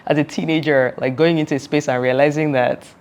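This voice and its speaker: A woman's voice: low voice, steady tone, a little fast, darkish tone. Nigerian woman telling her story with laughs. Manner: earnest, laughs often, many "like" and "you know" fillers. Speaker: founder and podcast guest. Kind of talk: reflective conversational storytelling.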